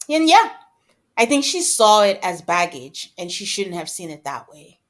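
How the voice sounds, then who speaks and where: A woman speaks as a podcast co-host in a casual, conversational style. Her voice sits at a middling pitch, and she slips into imagined quotes to illustrate her points. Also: very animated, normal speed. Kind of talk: casual discussion of reality TV.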